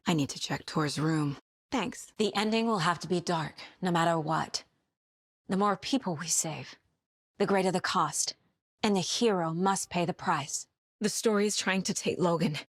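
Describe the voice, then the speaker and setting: A woman's voice: on the low side, slightly rough, no echo, clean studio sound. A woman playing a determined, analytical FBI agent and mother in a video game, speaking in a serious, naturalistic way. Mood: businesslike. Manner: serious, matter-of-fact, analytical. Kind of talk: naturalistic game voice acting, inner monologue and dialogue.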